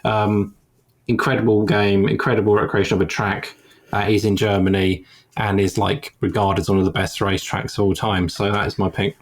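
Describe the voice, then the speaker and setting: A man of forty talks casually on a podcast, his voice sitting a little low. He rambles and hesitates, circling back through fillers. It is a relaxed, unscripted conversation. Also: normal speed. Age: 40s. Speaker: podcast panelist. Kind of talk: casual chat.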